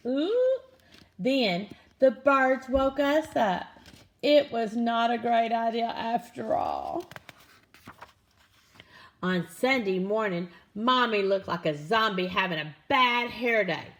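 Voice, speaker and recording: Very animated woman reading a children's story. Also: on the high side, takes it slowly. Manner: animated, expressive, with dramatic gasps and exclamations. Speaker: storybook reader. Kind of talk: read-aloud of a children's picture book.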